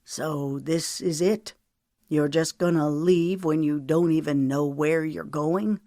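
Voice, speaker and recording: A woman's voice: low-pitched, steady tone, slow, mellow, dry recording, clean studio sound. A woman voicing a weary, stubborn husband who talks in a curt, clipped way. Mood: subdued.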